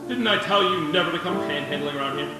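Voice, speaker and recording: A man playing a gruff, hostile bar owner in a 1940s radio play, brusque, slangy and sneering. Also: fairly high pitch, quick pace, lightly acted. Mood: bullying, angry. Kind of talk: 1940s radio drama acting on stage. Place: live theatre stage. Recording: very echoey stage, loud music behind.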